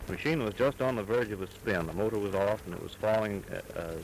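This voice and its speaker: A man, an airman being interviewed, talking in a halting, hesitant way. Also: fairly low pitch, gravelly. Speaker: parachute jumper interviewed. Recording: loud hiss of an old 1930s film soundtrack.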